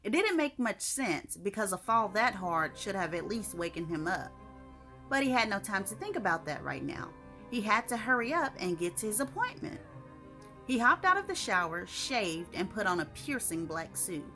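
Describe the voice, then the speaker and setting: Female storyteller, bright forceful tone, expressive short-fiction read over light music. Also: mid-range voice, moderate pace. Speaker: author reading own short story.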